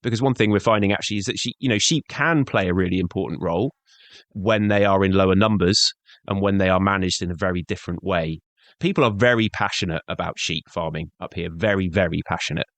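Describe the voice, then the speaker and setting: Middle-aged guy, deep voice, earnest, lots of "you know", dry close sound. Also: quick pace, fairly bright tone, no room sound. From born in Scotland, grew up in Devon. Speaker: conservationist, guest. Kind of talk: conversational interview answers.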